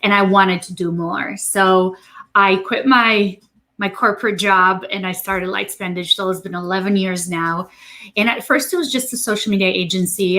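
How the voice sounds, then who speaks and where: A woman from Romania answers as a guest in an interview. Her pitch sits in the middle for a woman. She talks conversationally, halting and repeating words as she thinks. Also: a bit bright. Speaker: interview guest, digital marketing agency president.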